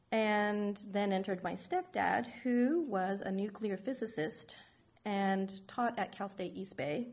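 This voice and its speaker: A middle-aged woman from San Francisco speaks in a frank, reflective way as she tells her life story. Her pitch sits in an ordinary middle range for a woman, and her delivery is plain spoken, like a personal testimony. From San Francisco, United States.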